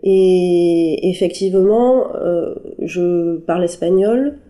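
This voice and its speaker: A woman artist answering questions in a halting, conversational way, with many fillers and restarts. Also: lowish voice, warm tone. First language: French. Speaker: interviewed artist. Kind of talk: hesitant conversational interview. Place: her apartment.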